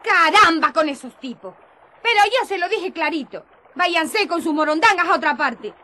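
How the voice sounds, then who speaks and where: An actress playing a sassy, sharp-tongued village prostitute in a radio drama, mocking and earthy in manner. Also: female voice, extremely high pitch, animated, moderate pace, heavily acted.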